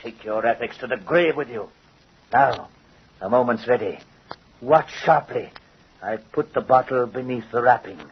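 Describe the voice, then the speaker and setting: An actor playing a vengeful, gloating doll-maker in old-time radio horror, with a theatrical, menacing and mocking manner. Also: male voice, mid-range voice, normal speed, long pauses. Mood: menacing, exultant. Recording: husky, muffled old radio recording.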